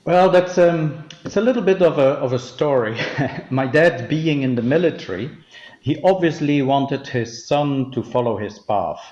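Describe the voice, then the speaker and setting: A man, a native Dutch speaker, answering interview questions in a thoughtful, hesitant way with many pauses. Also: mid-range voice, a little mellow, reverberant, highs cut off. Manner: thoughtful, hesitant with frequent ums. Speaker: guest, company legal officer.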